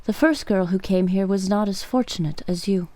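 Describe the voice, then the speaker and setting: A woman playing a calm, sorrowful island rescuer in a radio play, telling things in a measured way. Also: mid-range voice, no echo, close to an everyday voice. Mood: solemn, sorrowful. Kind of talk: radio drama acting.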